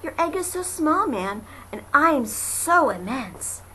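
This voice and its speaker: Here is a huge, gentle male elephant from a storybook, acted out by a woman. He is earnest and loyal, speaking with emphatic, swinging intonation. The voice sits fairly high, with some noise in an amateur home recording. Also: very animated, takes it slowly, full character voice, rough recording. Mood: incredulous.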